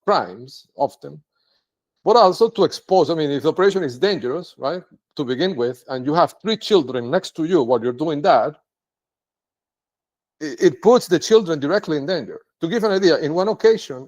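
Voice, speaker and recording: Native Spanish-speaking man, solo vlog monologue, halting and rambling, voice sits a bit high. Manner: rambling, halting, tags sentences with "right?". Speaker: video blogger. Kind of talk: unscripted monologue to camera.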